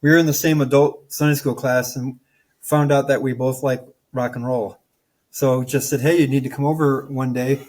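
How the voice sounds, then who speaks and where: A man's voice: medium pitch, level tone, moderate pace, darkish tone. An older man from Michigan, raised partly in Florida, a band guitarist chatting casually as a guest and telling stories. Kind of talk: casual storytelling.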